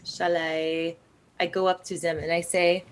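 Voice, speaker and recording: A woman's voice: fairly low pitch, flat delivery, measured pace. A woman chatting casually as a tabletop game player, hesitant and tentative. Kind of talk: casual role-play game chat.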